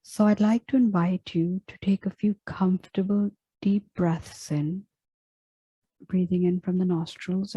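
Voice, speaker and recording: A woman guides a meditation with a very dark, mellow voice. Her delivery is very slow and hushed, with long pauses between gentle phrases. The tone is breathy and soft, like a script read with care. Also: on the low side, dry recording, poor audio. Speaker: meditation guide and yoga teacher.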